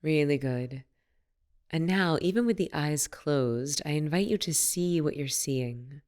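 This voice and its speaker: Smooth-voiced woman guiding a meditation. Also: sits low. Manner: soothing, unhurried, gently instructive. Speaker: meditation teacher. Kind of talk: guided meditation.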